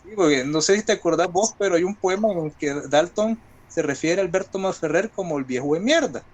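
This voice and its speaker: Higher-voiced Salvadoran man in conversation. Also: animated, a little fast. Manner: colloquial, reflective, explains with examples. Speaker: guest writer. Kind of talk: conversational interview talk.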